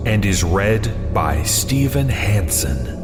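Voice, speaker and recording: A man hosting a horror anthology, introducing a story in a measured, ominous, formal scripted style. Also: on the low side, very animated. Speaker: anthology host announcer. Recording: long reverb, dull sound, loud music behind.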